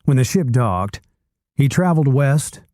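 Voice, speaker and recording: A man reading a nonfiction book aloud chapter by chapter, with expressive storytelling delivery. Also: mid-range voice, measured pace, no echo, clean studio sound. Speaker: reader.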